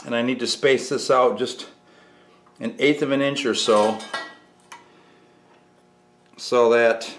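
A man's voice: mid-range voice, fairly even intonation, slow pace, long pauses, fairly bright tone, a little hiss or hum. A middle-aged man giving a casual, folksy hands-on how-to, thinking aloud as he works. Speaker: DIY workshop host. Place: outdoors by a house and in a barn workshop.

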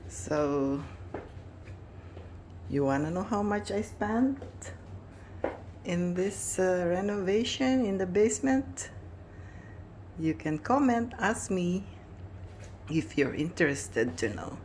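Slow, low-voiced woman touring her basement. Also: animated, a little mellow, a little hiss or hum. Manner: hesitant, many restarts and fillers. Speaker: home vlogger. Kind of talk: casual home tour narration. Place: finished house basement, indoors.

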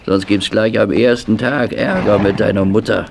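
An actor playing a gruff, skeptical old stableman, dry and good-naturedly grumbling, in a children's radio play. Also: on the low side, steady tone, normal speed, noisy background. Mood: grumbling kindly.

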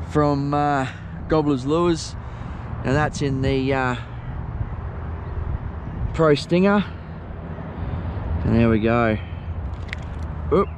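A middle-aged Australian man giving relaxed, chatty fishing commentary by a lake, filmed on a head-mounted action camera. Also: mid-range voice. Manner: relaxed, chatty, unhurried with fillers. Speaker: fishing vlogger. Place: outdoors by a lake shore. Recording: outdoor noise, wind and water.

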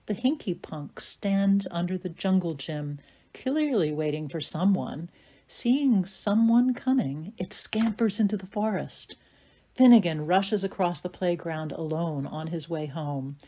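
A woman's voice: lowish voice, warm tone. A woman reading stage directions as scripted narration for an audio play.

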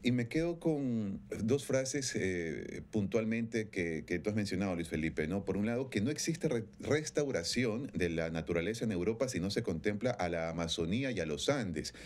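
A man, hosting a news interview in a deliberate, emphatic way. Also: lowish voice, expressive, darkish tone. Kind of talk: broadcast interviewing. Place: small studio indoors.

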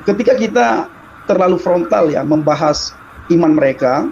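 A man from Aceh with a fairly high voice tells his testimony to a host, earnest and repetitive, often restarting his words. The sound is dull and lo-fi, like a phone video call, with faint noise behind him. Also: lively intonation, normal speed, darkish tone. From Aceh, Indonesia. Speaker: guest giving a testimony. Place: small room indoors.

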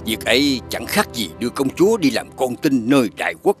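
A man acting a respectful cartoon court adviser with a mid-pitched, very expressive voice. He is formal and cautious, with music playing clearly behind. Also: a little fast, lightly acted. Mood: worried, deferential. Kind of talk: animated fairy tale acting.

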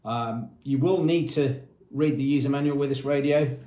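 A man in his fifties giving a matter-of-fact product demonstration, explaining features with hesitant pauses. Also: medium pitch, mellow. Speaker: product presenter for a marine shop. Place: small indoor room with painted block walls. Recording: echoey small room.

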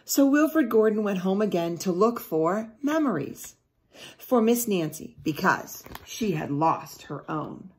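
A middle-aged woman reads a picture book aloud to children, deliberately, pausing to ask them questions. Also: mid-range voice, expressive. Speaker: teacher reading aloud to children. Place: small indoor room at home.

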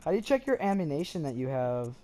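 A somewhat high-voiced man from Canada, slightly hoarse, chatting loosely through a gameplay session and erupting into swearing and shouts when it goes badly. A faint hiss sits under the recording. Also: fast talker. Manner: excitable, swears and yells at the game. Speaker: gameplay streamer. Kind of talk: casual gameplay commentary.

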